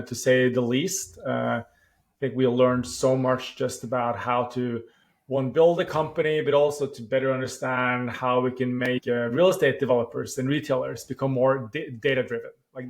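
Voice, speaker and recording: A man in his forties from Norway with a Norwegian accent, a founder answering podcast interview questions thoughtfully, often pausing with "uh" and restarting phrases. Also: mid-range voice. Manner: thoughtful, with frequent "uh" pauses and restarts. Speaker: startup founder.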